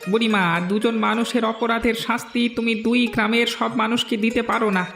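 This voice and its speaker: A man's voice: very high-pitched, level tone, normal speed, fairly bright tone. An actor playing an urgent, indignant village man pleading in a fairy-tale cartoon. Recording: echoey studio dub with background music.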